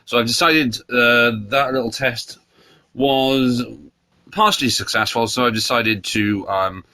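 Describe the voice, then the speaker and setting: A man's voice: mid-range voice, measured pace, sharp tone, no echo. A man hosting a solo podcast, congested from a cold, rambling and opinionated. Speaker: podcast host. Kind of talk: solo casual podcast chat.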